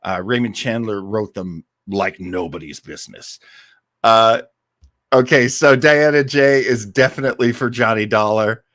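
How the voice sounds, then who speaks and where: A man's voice: on the low side, unhurried, a bit bright, dry recording, studio quality. An American man hosting a casual livestream, chatting to listeners in an enthusiastic, rambling, conversational way. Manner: enthusiastic, effusive about favourites. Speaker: host of an old-time radio listening livestream.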